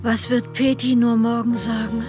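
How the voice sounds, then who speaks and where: A woman's voice: a little high, flat delivery, moderate pace, over music, close to an everyday voice. An actress playing a wry, disapproving middle-aged wife and mother who narrates a family radio play in a dry, mocking way. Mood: reproachful.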